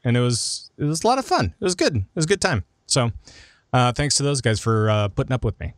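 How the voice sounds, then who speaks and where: A man's voice: medium pitch, lively intonation, fast, no room sound, clean studio sound. A man hosting a gaming podcast in a casual, joking, self-deprecating way. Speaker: podcast host.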